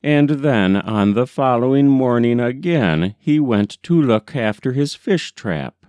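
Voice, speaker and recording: A man reading a folk tale as scripted audiobook narration, deliberate and expressive. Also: mid-range voice, no echo. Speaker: audiobook reader.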